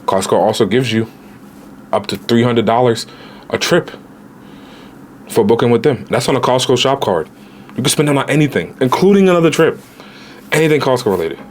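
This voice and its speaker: A man in his late twenties, a travel vlogger giving casual, animated budget travel advice straight to viewers, with slang. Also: medium pitch, brisk, pauses often, a little hiss or hum. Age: 20s.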